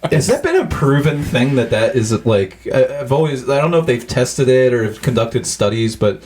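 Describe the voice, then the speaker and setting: A man's voice: medium pitch, sing-song, normal speed, darkish tone, slight background noise. A man hosting a casual comedy podcast with profane, joking banter, on a recording with clicks. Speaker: podcast host.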